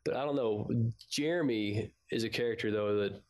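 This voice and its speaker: A man's voice: on the low side, moderate pace. A man co-hosting a fan podcast, chatting casually about an audio drama in a laid-back, slangy way.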